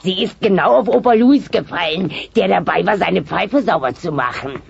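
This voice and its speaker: A woman playing a blunt, mischievous old dinosaur grandmother in a German comedy audio play, with a gravelly, crackly voice. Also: fairly low pitch, animated, normal speed. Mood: deadpan relish. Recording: dull tape transfer with hiss.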